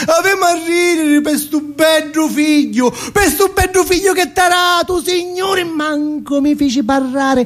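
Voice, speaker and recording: A naive Sicilian street youth in a radio drama monologue. The voice sits high and moves very slowly, halting and childlike. It is raw with emotion, in thick theatrical dialect. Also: male voice. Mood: wounded, agitated. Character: naive, emotional Palermo street youth grieving a lost friend. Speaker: stage actor performing a monologue.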